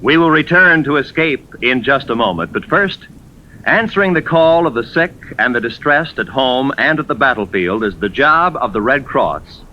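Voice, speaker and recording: A man's voice: mid-range voice, lively intonation, normal speed, pushed and bright, a little hiss or hum. A man as a formal, earnest announcer reading a scripted old-time radio announcement. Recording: dull old radio recording with music.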